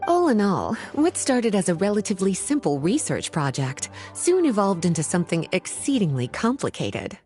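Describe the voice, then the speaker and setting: A woman performs a scholarly witch librarian for a video game. She is coy and playful, speaking in polished, unhurried phrases that swing expressively in pitch. Soft music plays behind her. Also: sing-song, close to an everyday voice. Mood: explanatory. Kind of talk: video game voice acting, scripted dialogue.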